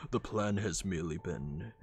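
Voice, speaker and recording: A man's voice: sits low, moderate pace, husky. A man playing a smug, scheming robot warlord in a fan comic dub, mocking and falsely polite.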